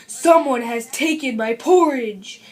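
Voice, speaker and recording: Woman putting on a gruff, growling papa bear. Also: slow pace, darkish tone, heavily acted. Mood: bellowing. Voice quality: big, deep, growly voice. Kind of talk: children's storybook read-aloud.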